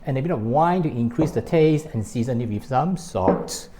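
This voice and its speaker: A man's voice: mid-range voice, lively intonation, normal speed, background noise. A man with a Malaysian accent hosting a cooking demonstration, explaining things step by step. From born in Hong Kong, grew up in Malaysia. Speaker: cooking show host. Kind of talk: recipe demonstration to camera.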